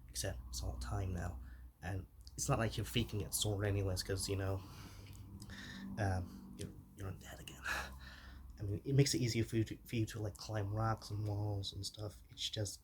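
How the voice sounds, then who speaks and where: Low, hushed man as an easygoing zombie. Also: fairly even intonation, slight background noise, natural voice. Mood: gentle, instructive. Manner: halting, chatty, reassuring, with sighs. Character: shy, easygoing male zombie mentoring a new undead. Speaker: ASMR performer. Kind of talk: ASMR roleplay, soft-spoken with whispering.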